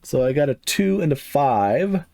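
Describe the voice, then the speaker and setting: A man's voice: fairly high pitch, sing-song, measured pace, vocal fry, dark tone, slight background noise. A middle-aged man casually walking through a tabletop game, rambling with lots of fillers and chuckling to himself. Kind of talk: casual first-impressions walkthrough.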